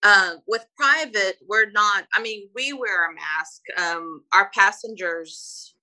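A woman's voice: mid-range voice, slow pace, sharp tone, rough recording. A Brazilian woman whose first language is Portuguese, a private flight attendant telling stories in a casual interview, hesitant with many fillers and restarts.

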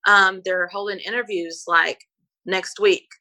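Bright-voiced Brazilian woman telling stories. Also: medium pitch, steady tone, unhurried, rough recording. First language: Portuguese. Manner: hesitant, many fillers and restarts. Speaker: guest, private flight attendant. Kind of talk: casual interview storytelling.

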